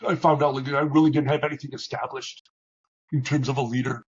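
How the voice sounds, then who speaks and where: Husky man with a halting, candid voice. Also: steady tone, dull audio. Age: middle-aged. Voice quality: big booming voice. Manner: halting, many restarts and fillers, candid. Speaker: interview guest. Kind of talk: reflective conversational interview.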